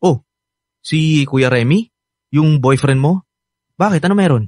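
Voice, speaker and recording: A man's voice: fairly high pitch, unhurried, a little mellow, no echo, clean studio sound. An actor playing an affectionate, eager young man with a Filipino accent in a radio drama, chatty and earnest. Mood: concerned. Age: young adult. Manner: earnest, chatty, laughs often.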